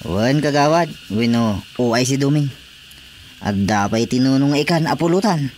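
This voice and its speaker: A man's voice: medium pitch, animated, moderate pace, darkish tone, a little hiss or hum, lightly acted. An actor playing a disgruntled, argumentative village fisherman in an Ilocano radio drama. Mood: complaining.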